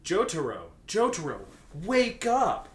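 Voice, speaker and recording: A man's voice: sits high, wildly swooping pitch, slow, voice pushed up, full character voice. A man voicing a worried, caring young male friend in a comedic fan fiction reading, anxious and emphatic. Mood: urgent. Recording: roomy echo, noisy background.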